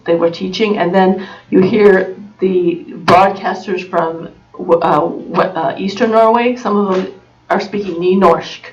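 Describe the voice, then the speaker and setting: American woman presenter, middle pitch, halting informal delivery, distant lo-fi recording. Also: steady tone. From United States. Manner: halting, many fillers and restarts. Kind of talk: informal talk. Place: conference room. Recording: dull recording from far off.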